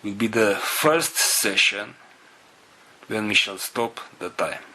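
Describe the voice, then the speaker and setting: A man with a non-native English accent giving a spiritual talk to followers in a solemn, halting manner, in short phrases. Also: fairly low pitch, sharp tone, slight background noise, rough recording. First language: not English. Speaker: spiritual group leader.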